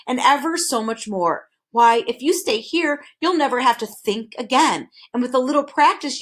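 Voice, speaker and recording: Woman putting on a sly, soothing demon man. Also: on the high side. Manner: soothing, deceitful, sour. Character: sly sour male demon of petty tasks.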